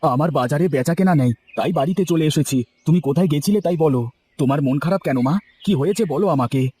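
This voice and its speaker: A man's voice: a little high, fast talker, warm tone, husky. Man playing an earnest young cartoon snack seller. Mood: concerned. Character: earnest young male street snack seller, devoted son. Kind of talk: children's cartoon voice acting.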